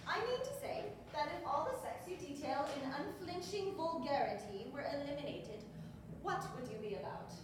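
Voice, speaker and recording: A high-pitched, righteous female prosecutor played by a stage actress questions with prim disapproval and sarcasm. It is courtroom theater, picked up from far off in a very echoey space with noticeable noise. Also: animated, moderate pace. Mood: scornful, accusing. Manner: pointed, disapproving, sarcastic cross-examining. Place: live stage performance in a roomy venue. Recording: echoey hall, distant mic, noisy room.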